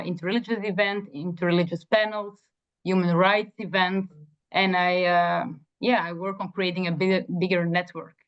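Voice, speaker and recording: A young woman from Belgium, a native Dutch speaker, earnestly introducing herself in a job interview with many fillers and restarts. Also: fairly low pitch. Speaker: job candidate. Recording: dull lo-fi sound.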